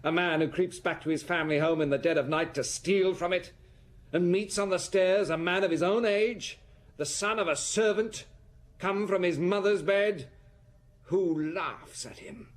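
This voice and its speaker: An actor playing a bitter, dissolute count in a radio drama, intense and formal, with some background noise. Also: male voice, high-pitched, moderate pace, close to an everyday voice. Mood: bitter confession. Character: dissolute young French count, bitter, finding his dignity.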